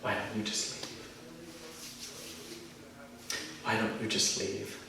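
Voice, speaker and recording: A man acting a bitter, wounded, self-righteous church leader in a volatile, confrontational dramatic monologue, repeating phrases between long pauses. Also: medium pitch, a crowd in the background, lightly acted. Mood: bitter, controlled. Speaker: amateur actor performing a monologue. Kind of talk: dramatic film monologue performance. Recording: echoey room, far from the mic.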